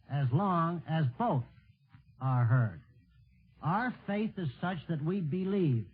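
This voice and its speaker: A man delivering a formal wartime radio speech in an earnest, measured, declamatory way. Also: a little high, breathy edge. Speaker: radio network executive giving an address. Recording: tinny narrow-band 1940s radio broadcast.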